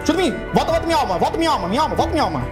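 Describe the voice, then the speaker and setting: Young Brazilian male game streamer, quick and wildly animated, repetitive, sweary, music behind. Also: extremely high pitch. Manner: excitable, repetitive, heavily profane slang. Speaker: gaming streamer. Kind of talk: excited live game-stream commentary. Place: indoor room, webcam streaming setup.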